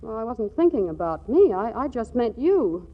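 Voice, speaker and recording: Restless young wife, high-pitched, flustered, affectionate but fed up; vintage radio comedy, muffled old recording. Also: female voice, big pitch swings, normal speed. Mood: earnest, apologetic. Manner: flustered, fluttery, stammering repeats. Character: restless, exasperated yet loving young wife. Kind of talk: 1940s radio comedy acting. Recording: dull 1940s radio broadcast recording.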